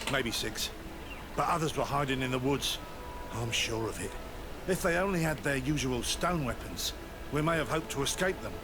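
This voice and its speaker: A man's voice: mid-range voice, background noise, natural voice. A man playing a shaken, deferential caravan survivor in a video game, recounting things in a grave, anguished way. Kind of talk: scripted video game dialogue.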